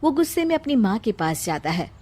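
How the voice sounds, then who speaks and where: A woman's voice: a little high, very animated, brisk, mellow. A woman narrating a scripted moral story as a storyteller.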